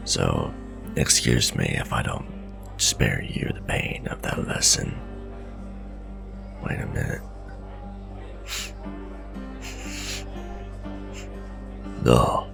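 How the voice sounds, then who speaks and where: Seasoned tavern stranger, acted by a man: fantasy roleplay, wary, folksy, low-key, extremely deep with heavy gravel. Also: exaggerated voice. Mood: startled, suspicious. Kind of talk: gothic fantasy ASMR roleplay acting.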